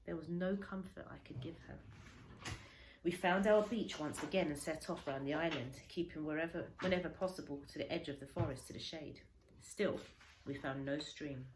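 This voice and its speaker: A woman reading a children's story aloud like a teacher, dramatically, with pauses and stretched words for emphasis. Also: low-pitched. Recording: echoey room, faint background noise.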